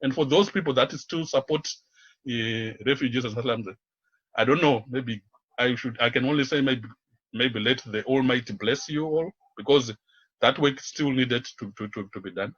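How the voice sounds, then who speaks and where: A man speaking unscripted as a radio interview guest, in a halting, searching way, often repeating words. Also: mid-range voice, fairly bright tone, muffled recording. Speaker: interview guest, community volunteer and activist.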